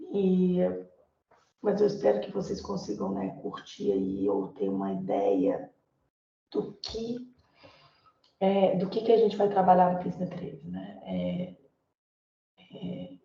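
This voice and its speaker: A Brazilian woman teacher with a hoarse voice from a viral illness, lecturing informally in an online class, full of fillers and tag questions. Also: on the low side, dark tone, a little hiss or hum. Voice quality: hoarse, gravelly. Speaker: Portuguese teacher. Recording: echoey, dull lo-fi room sound.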